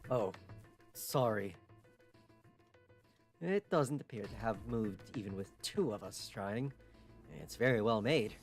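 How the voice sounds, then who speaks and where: A man's voice: fairly low pitch, animated, slow, long pauses, faint music behind. A man voicing a puzzled, hesitant young man from a mystery game, muttering doubtfully. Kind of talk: visual novel character read aloud.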